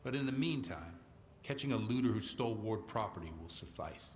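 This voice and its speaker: A man playing a hard-nosed armed faction commander in a video game, measured and stern. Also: on the low side, expressive, darkish tone, slight background noise, close to an everyday voice. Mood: stern, menacing. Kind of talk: video game character dialogue.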